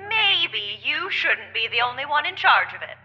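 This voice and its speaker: A woman playing a blunt, skeptical mer council envoy in an audio drama, snappy and deadpan, through a radio-like filter. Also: high voice, normal speed, lo-fi sound, heavily acted. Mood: blunt, irritated.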